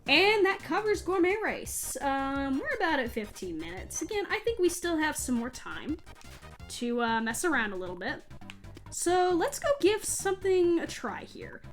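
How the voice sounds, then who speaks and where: Female let's play host, higher-pitched voice, chatty and self-deprecating casual commentary over game music. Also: very animated, moderate pace. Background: video game music.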